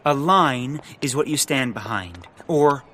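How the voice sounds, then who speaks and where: A man's voice: medium pitch, lively intonation, a little slow, bright, forceful tone, a little hiss or hum. A man playing a naive, mild, easily distracted sidekick in a comedic audio drama. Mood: easygoing, goofy.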